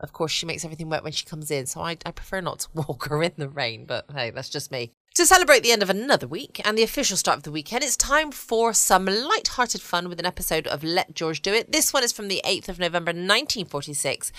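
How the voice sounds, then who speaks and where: A woman's voice: on the low side, animated, brisk, sharp tone, no echo. A British-accented woman chatting casually as host of a bedtime podcast. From United Kingdom. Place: quiet room at home.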